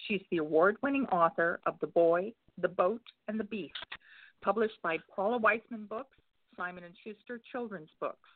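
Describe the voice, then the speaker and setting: A woman's voice: mid-range voice, moderate pace. A woman guest-hosting a radio interview, upbeat, reading introductions and asking questions. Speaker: guest host. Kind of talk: interview host. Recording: tinny phone line, dry.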